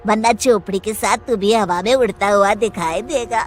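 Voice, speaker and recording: A woman's voice: mid-range voice, moderate pace, soft background music, lightly acted. A woman voicing a menacing witch who haunts a tree, threatening and commanding, with a cackle.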